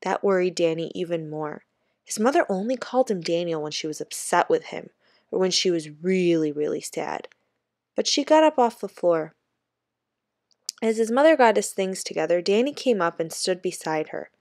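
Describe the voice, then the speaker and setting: A woman reading a children's story aloud like a teacher, in a measured, expressive narrating manner. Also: fairly low pitch, no echo, clean studio sound.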